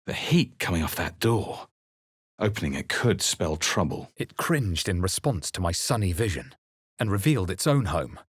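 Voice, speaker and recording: An actor playing a grave, weary and observant adventurer in a video game, speaking in a measured, dramatic, husky way. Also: male voice, low voice, no room sound, studio quality, heavily acted. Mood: wary. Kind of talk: scripted video game narration and dialogue.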